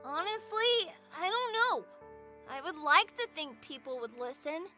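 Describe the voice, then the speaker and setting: A woman's voice: very high-pitched, very animated, unhurried, pushed and bright, faint music behind, full character voice. A woman playing a kind, earnest kid, wise beyond their years, in an audio drama. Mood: thoughtful, earnest. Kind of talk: scripted audio drama acting.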